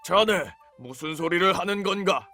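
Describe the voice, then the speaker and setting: A performer acting out a gentle king traveling in disguise for a storybook drama. He speaks calmly and with dignity, in an old-fashioned way. The voice is bright and forceful, and faint music plays behind it. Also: mid-range voice, moderate pace. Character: disguised kindly king, patient middle-aged man. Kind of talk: children's storybook audio drama. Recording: soft background music.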